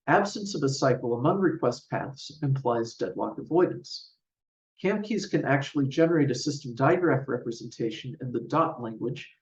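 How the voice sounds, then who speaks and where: A man giving a scripted technical conference presentation in a measured, formal, explanatory way. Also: medium pitch, a little mellow. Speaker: researcher presenting a paper. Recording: roomy, distant mic, dull sound.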